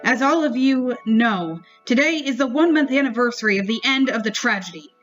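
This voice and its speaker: Woman reading as earnest high-voiced young leader. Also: animated, fairly quick, dull audio, faint music behind, close to an everyday voice. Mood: solemn, hopeful. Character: earnest, hopeful young male leader making a speech.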